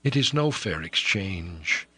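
A man's voice: low-pitched, sing-song, slow, bright, forceful tone, breathy edge, no echo, full character voice. A man voicing a young noblewoman in love, pleading and tearful, in an audiobook reading. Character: young noblewoman, anguished and passionate lover.

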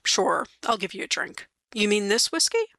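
A weary male police sergeant, plain-spoken and obliging, read by a woman. He talks matter-of-factly in a bright, clear tone, close and dry. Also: mid-range voice, sing-song, moderate pace, no echo.

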